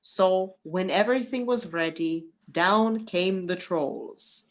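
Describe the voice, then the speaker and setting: A woman's voice: lowish voice, slow pace. A woman narrating a folktale from a script for an amateur radio play.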